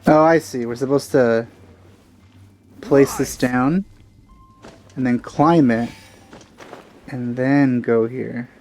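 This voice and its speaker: A man's voice: mid-range voice, fairly even intonation, takes it slowly, long pauses, darkish tone. Male let's play host, mid-range voice, offhand and rambling casual commentary over game music.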